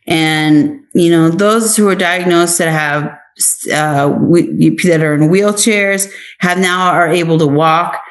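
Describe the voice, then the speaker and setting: Low-voiced American woman, earnest. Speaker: interview guest, actress and author. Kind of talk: conversational interview answers.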